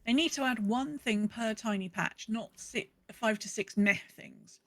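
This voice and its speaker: A woman's voice: a little high, a little slow, fairly bright tone. A woman co-hosting a podcast, chatting casually and reading out listener messages in a conversational way with muttered asides. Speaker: podcast co-host.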